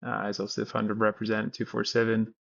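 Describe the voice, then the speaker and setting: A man's voice: on the low side, completely flat delivery, fast, creaky, dull audio. A Scottish man in his thirties, a company founder telling his story in a podcast interview with frequent restarts. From Scotland. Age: 30s.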